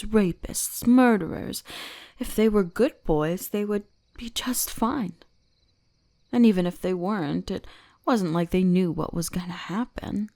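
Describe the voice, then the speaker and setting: A woman plays a seductive fox-spirit shrine maiden who devours souls, speaking very slowly with a creaky edge. She is teasing and gently dominant in an ASMR roleplay, often sinking into whispers. The sound is close and dry. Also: mid-range voice, dry recording. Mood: soothing, sinister. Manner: slow, intimate, teasing, often dropping into whispers.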